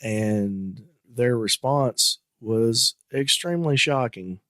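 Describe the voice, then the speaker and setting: A man's voice: medium pitch, slow pace, fairly bright tone, no echo, clean studio sound. Earnest man hosting a podcast. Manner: earnest, emphatic, gets heated on the topic. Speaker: podcast host. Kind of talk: casual podcast discussion.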